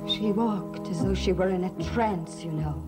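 A woman's voice: medium pitch, normal speed, over loud music, natural voice. An actress playing a frightened, haunted young woman in a gothic soap opera, pleading with breathless dread. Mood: haunted, fearful. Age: young adult.

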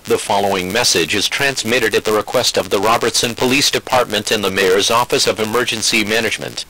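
Bright synthetic male emergency announcer. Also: fairly low pitch, brisk, poor audio. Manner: formal, impersonal, synthetic text-to-speech delivery. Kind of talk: scripted emergency alert bulletin.

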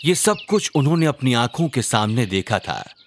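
Expressive male storyteller with lively pitch. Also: normal speed, a little hiss or hum. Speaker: narrator. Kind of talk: scripted storytelling narration for a children's religious cartoon.

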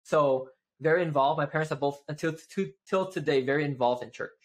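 Animated young man on a video call. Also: fairly high pitch, a little fast. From Malaysia. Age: 20s. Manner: animated, gestures with both hands, many "right?" tags. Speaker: guest. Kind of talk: casual interview chat. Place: home office with shelves.

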